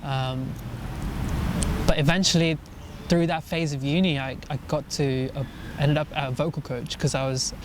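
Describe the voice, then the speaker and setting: A man's voice: a little high, steady tone, normal speed. A young man from India in his twenties, talking earnestly and thoughtfully in a casual interview, often restarting his sentences. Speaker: musician guest. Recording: noisy outdoor recording.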